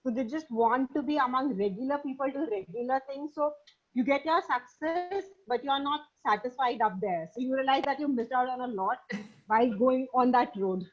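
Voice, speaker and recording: A woman's voice: high voice, normal speed. A woman sharing her thoughts in a casual poetry discussion, over slightly dull online call audio. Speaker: reading group participant.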